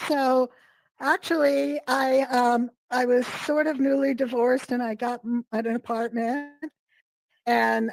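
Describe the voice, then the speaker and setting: A middle-aged woman, hoarse from a cold, talking in a rambling way with many fillers as a guest on a video call. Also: a little high, no echo, low-quality recording. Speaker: guest artist. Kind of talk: casual interview chat.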